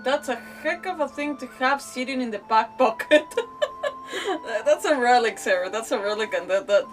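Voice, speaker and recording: A woman's voice: on the high side, expressive, moderate pace. A woman streamer giving casual, rambling, mildly sweary live commentary as she reacts to a game. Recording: distant mic, faint game music. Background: faint game music.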